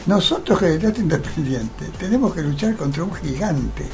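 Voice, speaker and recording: An older man with a Rioplatense Argentine accent talks as a radio interview guest. His voice sits a bit high and has a lot of gravel in it. He argues emphatically, posing rhetorical questions, with faint music behind him. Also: lively intonation, moderate pace. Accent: Rioplatense Argentine Spanish. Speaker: interview guest, theatre actor. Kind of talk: radio interview, opinionated conversation.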